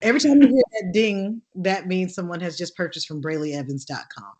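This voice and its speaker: Deep-voiced woman, animated storyteller. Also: brisk. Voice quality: deep contralto voice. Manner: animated, laughing, acts out voices in her stories. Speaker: actress and singer guest. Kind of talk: lively interview storytelling.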